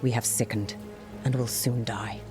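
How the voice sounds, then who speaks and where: An actor playing a Qunari scholar and translator, grave and formal. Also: female voice, very deep voice, steady tone, unhurried. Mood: reciting. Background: music.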